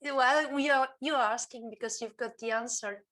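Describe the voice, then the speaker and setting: Bright, high-voiced woman. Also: fairly even intonation, normal speed. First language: Polish. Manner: hesitant, many "like" and restarts. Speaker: symposium participant. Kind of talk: academic discussion.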